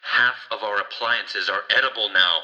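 An actor playing an exasperated, nagging, responsible colleague, scolding with sarcasm in an audio drama. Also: male voice, on the low side, normal speed, sharp tone, muffled recording.

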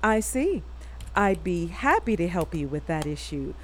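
Harried young woman, very animated delivery. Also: fairly low pitch, a little slow. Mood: forced politeness. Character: harried, exasperated young woman working remote customer support. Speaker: actor in an audio fiction podcast. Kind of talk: naturalistic audio drama acting.

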